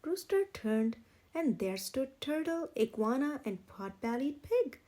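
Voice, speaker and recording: Lady reading a kids picture book aloud, high voice, really animated, takes her time. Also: unhurried. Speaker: read-aloud storyteller, channel host. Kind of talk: expressive children's storybook narration.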